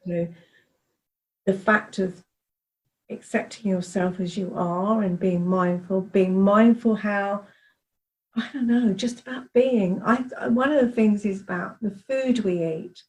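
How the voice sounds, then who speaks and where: A woman's voice: medium pitch, a little slow, rough recording. A woman hosting a podcast interview, chatty and encouraging as she introduces and talks with her guest. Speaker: podcast host.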